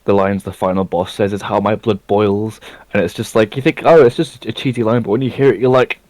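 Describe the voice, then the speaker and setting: Low-voiced man chatting, dry close sound. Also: fairly even intonation, a little fast, a little mellow, no echo. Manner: rambling, many fillers and restarts. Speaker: podcast co-host. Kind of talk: casual chat.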